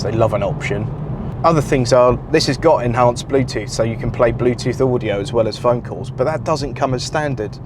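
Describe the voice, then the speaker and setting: A British man in his forties, croaky and nasal with a cold, chatting wryly about his car while driving, on a lapel mic. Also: medium pitch. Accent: British English. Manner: chatty, wry, grumbling about small gripes. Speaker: car reviewer. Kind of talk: casual car review vlog. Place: driving a car on suburban roads. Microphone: clip-on lapel mic. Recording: loud car noise in background.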